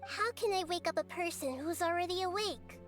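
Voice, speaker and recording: Casting: a tiny wise girl goddess, very high and calm, measured and analytical, video game. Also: music in the background. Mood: calm probing. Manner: composed, gently analytical. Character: small girl goddess of wisdom, calm, perceptive. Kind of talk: game cutscene dialogue.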